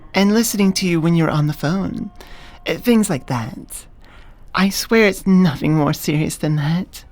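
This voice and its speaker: A woman playing a smitten, businesslike elf manager in a soft-spoken ASMR roleplay, earnest and deliberate. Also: sits low, no room sound, exaggerated voice. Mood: hesitant, earnest. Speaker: voice actor.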